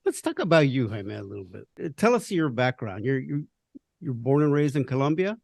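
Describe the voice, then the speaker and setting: A man's voice: mid-range voice, sing-song, brisk, gravelly, a little mellow, no room sound, clean studio sound. A man hosting an interview, asking questions and encouraging his guest in casual chat. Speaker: podcast host.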